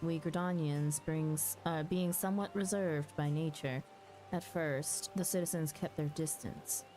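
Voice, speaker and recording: A woman chatting casually as she streams a game, halting and muttering asides to herself, sometimes reading dialogue aloud. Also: low-pitched, fairly even intonation. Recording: music behind the voice. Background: background music.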